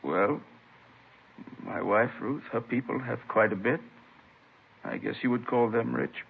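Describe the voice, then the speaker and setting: A man acting a polite, bewildered professor and worried husband, speaking haltingly and earnestly in old radio drama style. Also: mid-range voice, vocal fry, breathy edge. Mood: bewildered, anxious. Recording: tinny, noisy vintage radio recording.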